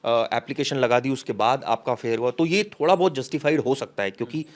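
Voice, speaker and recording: Male reporter, mid-pitched, halting explanatory delivery in live discussion, some English legal terms mixed in. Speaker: news reporter giving case details. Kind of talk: unscripted news discussion, explanatory.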